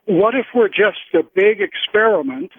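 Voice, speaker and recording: A man calling in to a radio show, musing in a casual, halting way. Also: sits high, no echo. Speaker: call-in listener. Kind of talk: casual radio call-in chat. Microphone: phone call. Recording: thin, narrow phone line.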